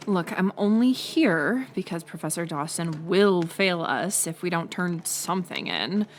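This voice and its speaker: Woman acting a slow, snide college mean girl. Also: lowish voice, lively intonation, a little hiss or hum. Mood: dismissive. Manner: sarcastic, teasing, scoffing with sighs and breathy asides. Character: mocking college-age female bully hiding a softer side. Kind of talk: audio roleplay acting, intimate one-sided dialogue.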